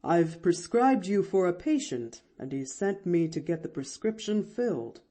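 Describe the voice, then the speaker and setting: A woman voicing a kindly, grave country doctor, a man, with polite and gentle speech in an audiobook. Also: fairly low pitch, expressive, unhurried. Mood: courteous. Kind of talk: audiobook character voice.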